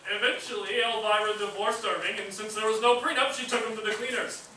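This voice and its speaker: A man's voice: high voice, steady tone, fairly quick, far from the mic, lightly acted. A man playing a clueless stand-in narrator who hesitantly fumbles through exposition in a comic stage reading. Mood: fumbling. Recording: echoey stage recording from afar, faint noise.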